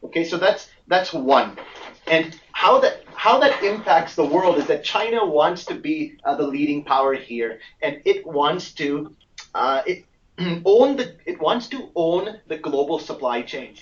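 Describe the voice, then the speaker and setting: A man giving explanatory commentary as a guest analyst on a conference call, speaking in a measured, halting way and repeating phrases for emphasis. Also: a little high, lively intonation. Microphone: conference call line. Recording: muffled, echoey, far from the mic.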